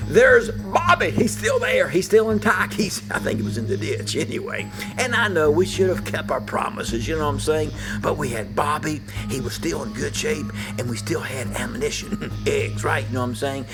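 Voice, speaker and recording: An older man, gravelly and a little high in pitch, spinning a folksy story from his youth. He talks in an animated way with frequent chuckles, and music plays beneath him. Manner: animated, laughing often, repeats "you know what I'm saying". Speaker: storyteller, preacher. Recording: music bed underneath.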